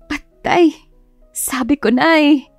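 A woman's voice: high-pitched, takes it slowly, no echo, soft background music, voice pushed up. A woman voicing a flustered, embarrassed young female teacher who scolds herself dramatically in a romance audiobook. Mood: anxious, exasperated. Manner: exclamatory, self-scolding, exasperated.

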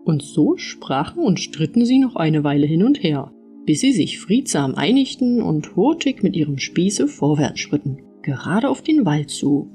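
A woman narrating an audiobook fairy tale in an expressive storytelling style. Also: low voice.

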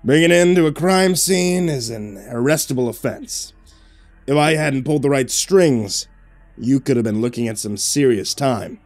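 A man's voice: mid-range voice, lively intonation, normal speed, darkish tone, soft background music, lightly acted. A man voicing a smug older male newspaper boss who bullies and gloats. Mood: scolding. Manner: gloating, bullying.

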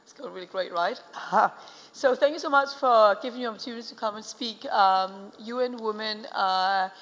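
A woman's voice: medium pitch, moderate pace, fairly bright tone. A middle-aged woman from Denmark with a Danish accent, speaking earnestly on a conference panel, with frequent fillers and restarts. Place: large conference hall stage. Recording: long hall reverb.

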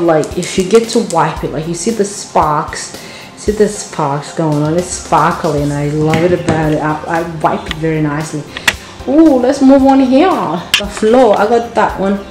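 A woman's voice: on the low side, a little slow. A woman giving a casual home tour on a handheld phone, chatty and gushing, repeating words for emphasis. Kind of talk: casual house tour chat. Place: indoors, bright tiled home rooms. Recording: echoey home rooms with music behind. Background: background music.

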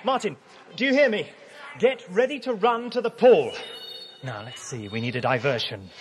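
A man acting an eccentric, clever time-travelling doctor in an audio drama, with a brisk, theatrical, commanding manner. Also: sits high, expressive. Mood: urgent. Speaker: lead actor in an audio drama.

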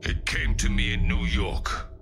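An actor playing a proud, bitter robot revolutionary leader in a video game, grave and deliberate. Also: male voice, fairly bright tone, big-room sound, faint music behind, lightly acted. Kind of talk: video game character dialogue.

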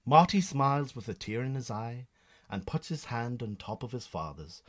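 A man narrates a radio drama in a Northern Irish accent. His voice sits at a middle pitch, and he reads scripted scene descriptions as a storyteller. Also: very animated, normal speed.

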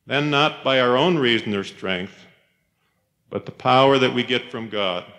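A man's voice: medium pitch, measured pace, a bit bright. Man giving a chapel talk in reverberant hall. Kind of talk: reflective sermon-like chapel talk. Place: large chapel, speaking to a congregation. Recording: reverberant chapel hall, 1990s cassette tape.

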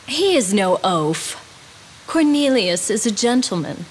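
Proud princess, firm and calm, slow delivery, quiet game music. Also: female voice, mid-range voice, a little hiss or hum. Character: young princess warrior, proud and dutiful. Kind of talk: video game cutscene dubbing. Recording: game audio with faint music behind.

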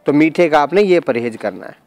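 A man with a high-pitched voice presents a practical how-to in voice-over. He is emphatic and instructional, repeating phrases to drive them home. The sound is dry and close, with very little room in it. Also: expressive, a little fast, a little mellow, no echo. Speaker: presenter of home remedy tutorial.

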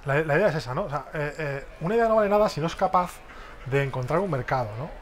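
Lively man leading a business workshop. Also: a little high, quick pace. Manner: lively, questioning the audience, many tag questions. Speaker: workshop speaker. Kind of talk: interactive business workshop talk. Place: large exhibition hall, small stage. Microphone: headset mic.

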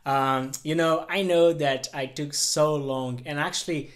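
A man's voice: on the high side, fairly even intonation, measured pace. Brazilian-accented man talking to camera. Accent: Brazilian Portuguese. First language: Portuguese. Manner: earnest, many fillers and restarts, talks with his hands. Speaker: YouTuber. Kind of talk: casual talk to camera. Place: living room at home.

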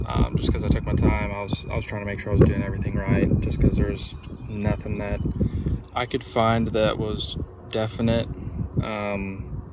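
A man giving a casual, informal hands-on DIY walkthrough, recorded on a handheld phone inside a parked truck. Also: fairly low pitch. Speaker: DIY video presenter. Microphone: handheld phone camera. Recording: clear background noise, vehicle ambience.

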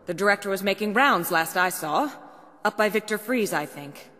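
An anxious doctor, lively and reverberant. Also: female voice, mid-range voice, normal speed. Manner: nervous, earnest. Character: anxious, grateful female asylum doctor. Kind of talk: video game cutscene acting. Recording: long stone-tunnel reverb, faint noise.

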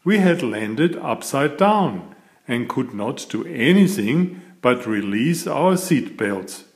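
A man reading a children's picture book aloud in a deliberate, expressive storytelling manner. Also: mid-range voice. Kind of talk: scripted children's storybook narration.

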